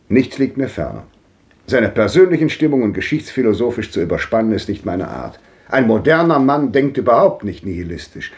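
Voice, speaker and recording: Old world-weary thinker in a 50s radio play, very animated pitch, composed and declamatory. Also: male voice. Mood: resigned, measured. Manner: declamatory, rhetorical phrasing. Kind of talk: 1950s German radio drama monologue.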